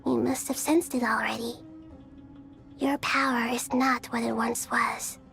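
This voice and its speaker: Gentle childlike goddess, high voice. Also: female voice, flat delivery, vocal fry. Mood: calm, earnest. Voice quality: soft, youthful. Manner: formal, measured, earnest. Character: gentle, wise, childlike female deity. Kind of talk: video game dialogue. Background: background music.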